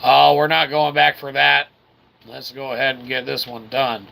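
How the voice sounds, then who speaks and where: A man's voice: mid-range voice, steady tone, normal speed, vocal fry, sharp tone. A man giving casual, wry commentary as he plays a video game, talking back to the game and its characters with mild swearing. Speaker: host of a gameplay video.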